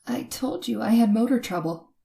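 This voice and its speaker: A woman's voice: fairly high pitch, moderate pace, vocal fry, dark tone. A woman playing a nervous, frightened lone traveler in an old-time radio suspense drama, with a shaky, anxious and hesitant manner. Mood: flustered. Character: nervous red-haired woman driving alone at night, frightened. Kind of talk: old-time radio suspense acting, first-person narration.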